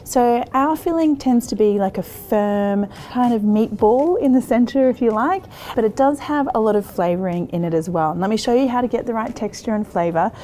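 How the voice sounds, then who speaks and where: A woman's voice: a little high, normal speed, few pauses, mellow. An Australian woman in her thirties hosting a cooking show, chatting through a recipe step by step in an encouraging, easygoing way and laughing easily. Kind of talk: cooking demonstration.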